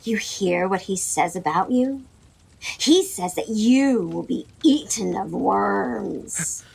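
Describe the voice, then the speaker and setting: A woman's voice: mid-range voice, expressive, slow pace, vocal fry, slightly rough, full character voice, rough recording. A woman acting a haughty, jealous queen in an audio play, imperious and mocking. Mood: taunting. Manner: imperious, mocking, sharp-tongued.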